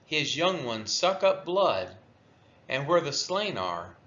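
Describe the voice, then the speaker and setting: Slow, expressive man reading scripture aloud. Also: a little high, highs cut off. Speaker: scripture reader. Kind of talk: devotional Bible reading aloud.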